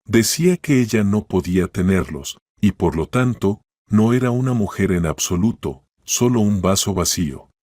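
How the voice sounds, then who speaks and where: A man's voice: on the low side, animated, normal speed, few pauses, darkish tone, no room sound, clean studio sound. A man reading a scripted story as a narrator.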